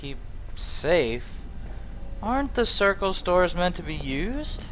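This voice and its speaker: A man's voice: high voice, sing-song, slow, fairly bright tone, lo-fi sound, slight background noise, voice pushed up, heavily acted. A man voicing an eager, inquisitive young female mage in an amateur game role-play, tentative and questioning. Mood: curious, tentative.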